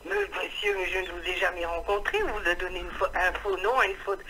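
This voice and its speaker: A woman's voice: lowish voice, lively intonation, fairly quick, background noise. An older woman answering a phone call, bewildered and indignant, denying over and over. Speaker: prank call target.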